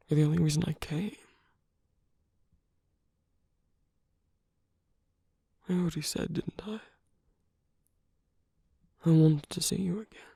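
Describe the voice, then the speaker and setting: A man playing a suave, flirtatious villain in an ASMR roleplay, speaking softly and intimately in a breathy half-whisper with a teasing, coaxing manner. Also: on the high side, dry recording, studio quality. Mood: teasing, sincere. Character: suave flirtatious male villain wooing a hero at a masquerade.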